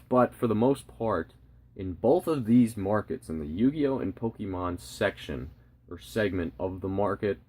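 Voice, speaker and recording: A man's voice: fairly low pitch, darkish tone. A man hosting a collecting channel, giving casual opinion commentary to viewers, repeating key words and phrases for emphasis. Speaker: host of a trading card collecting channel.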